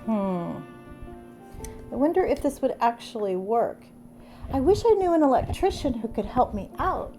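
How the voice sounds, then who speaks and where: A woman's voice: on the high side, big pitch swings, moderate pace, long pauses, mellow, faint music behind. A middle-aged woman with a stuffy cold, chatting upbeat through a do-it-yourself craft tutorial. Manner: chatty and upbeat, exclaims over gifts. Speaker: craft vlogger. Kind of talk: casual DIY tutorial narration.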